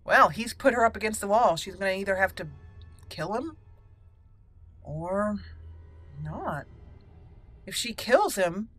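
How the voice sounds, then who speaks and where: A woman hosting a roleplay let's play, musing deliberately and slipping into her character's dialogue. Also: mid-range voice, animated, fairly bright tone, faint music behind. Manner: musing, deliberate, thinking aloud. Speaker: gaming channel host roleplaying a character.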